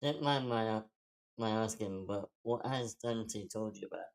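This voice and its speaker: Guy doing a slangy nervous young man, self-deprecating, casual script read, kinda halting. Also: fairly low pitch, gravelly. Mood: awkward, guarded. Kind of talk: amateur screenplay table read.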